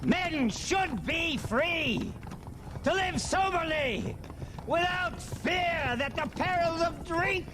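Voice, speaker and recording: An actor playing a fervent, preachy temperance crusader in a Western video game, declaiming an impassioned speech. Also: male voice, very high voice, slow pace, background noise. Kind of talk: oratory in a game cutscene.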